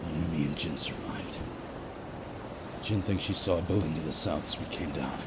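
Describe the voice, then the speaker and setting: An actor playing a weary, gritty space soldier who records a grim personal log in an audio drama. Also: male voice, a bass voice, normal speed, big-room sound, background noise. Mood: grim, shaken. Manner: brooding, gritty, swears.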